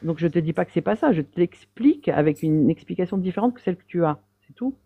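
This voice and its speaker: A woman's voice: lowish voice, lively intonation, fast talker, no echo. A woman calling into a live debate, defending her view in a hesitant way with many fillers. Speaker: debate caller. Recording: dry tinny phone line.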